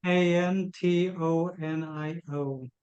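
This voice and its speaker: A man's voice: on the high side, steady tone, slow, darkish tone, smooth, dry recording, dull audio. A man from Portugal, a monk, introducing himself with hesitations and spelling his name.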